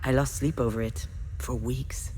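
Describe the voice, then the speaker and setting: A woman playing a reflective, grieving mother who narrates her memories in a measured, confessional monologue for a video game. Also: extremely low pitch, steady tone, darkish tone, soft background music. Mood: somber. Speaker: narrating protagonist of a visual novel. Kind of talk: dramatic first-person game monologue. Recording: long reverberant hall echo.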